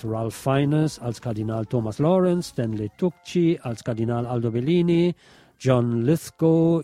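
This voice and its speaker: A man's voice: medium pitch, warm tone. A man hosting a radio show, reviewing films in a partly scripted, partly conversational way, with opinionated asides to his listeners. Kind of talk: film review, part scripted narration, part conversational.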